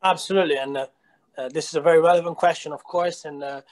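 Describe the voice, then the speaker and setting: Italian-accented man, hesitant, a bit high. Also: fairly even intonation, fast. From Italy. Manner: hesitant, many fillers and restarts. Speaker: real estate company founder. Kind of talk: video call interview. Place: small room indoors. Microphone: video call.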